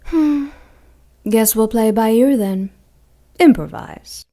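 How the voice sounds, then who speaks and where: Ancient vicious demoness with a gravelly, unhurried menace, played by a woman for audio drama. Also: on the high side, expressive, warm tone. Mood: taunting. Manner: slow, mocking, menacing purr. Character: ancient cruel female demon, gleefully vicious.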